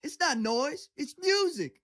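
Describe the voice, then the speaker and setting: An actress playing an upbeat, friendly young game character with a playful, chatty manner. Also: female voice, high-pitched, expressive, unhurried, a bit bright, dry recording, studio quality. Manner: bubbly, chatty.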